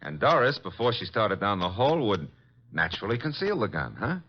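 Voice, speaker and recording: Wisecracking detective sidekick, low voice, lively swings, muffled old radio drama. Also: male voice, fairly quick, a little hiss or hum. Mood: puzzled, inquisitive. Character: brisk, wisecracking detective's assistant, loyal and inquisitive. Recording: muffled vintage radio recording.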